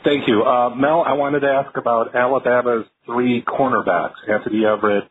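A man's voice: mid-range voice, fairly even intonation, moderate pace. A male reporter asking a press question on a telephone conference call. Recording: noisy phone line.

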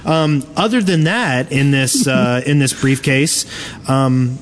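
A man's voice: mid-range voice, slow pace, a little hiss or hum. A man hosting a live podcast at a convention, playful and hesitant, often restarting his sentences. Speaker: podcast host. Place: convention floor.

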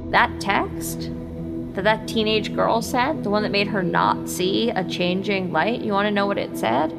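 An actress playing a grieving, lonely woman in an intimate audio drama, speaking in a halting, confessional way. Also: medium pitch, vocal fry, loud music behind the voice. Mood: bitter, hurt.

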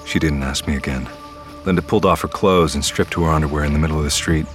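An actor playing a wary, easygoing young man in an audio drama, hesitant and low-key, who also narrates his own eerie story. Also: fast talker, music in the background. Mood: tense narration. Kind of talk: audio fiction acting with first-person narration.

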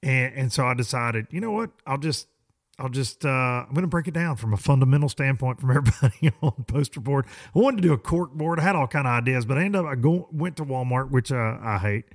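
A hoarse man hosting a podcast, rambling in a folksy, self-deprecating way. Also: mid-range voice, lively intonation, brisk. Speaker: podcast host. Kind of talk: casual rambling monologue.

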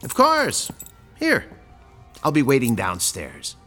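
Courteous, sinister landlord, swooping intonation. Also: male voice, medium pitch, wildly swooping pitch, creaky, pushed and bright, faint music behind, heavily acted. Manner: formal, polite, measured, evasive. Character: courteous, faintly sinister male landlord of an apartment building. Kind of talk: modern audio drama acting.

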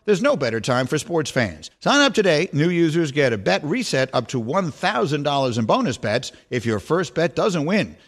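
Upbeat man reading a sports betting ad. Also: on the high side. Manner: upbeat salesy read. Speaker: ad announcer. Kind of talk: read sportsbook advertisement.

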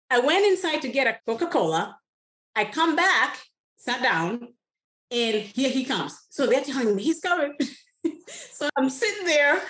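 A woman in her thirties telling a story in a casual, animated way, often laughing. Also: high-pitched, roomy sound. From Kenya. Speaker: guest.

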